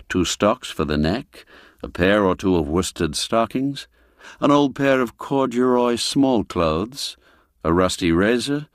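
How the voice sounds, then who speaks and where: A man narrating a classic literary tale in a measured, dramatic storytelling manner, phrase by phrase. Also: low voice, no room sound, clean studio sound. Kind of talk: scripted literary narration, audiobook reading.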